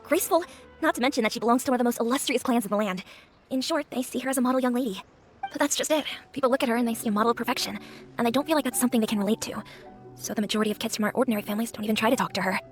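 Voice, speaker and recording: Woman playing a quick-talking, chatty restaurant owner. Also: a little high, natural voice. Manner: chatty, obliging, confiding. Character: friendly, chatty female neighbourhood restaurant owner. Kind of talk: video game voice acting.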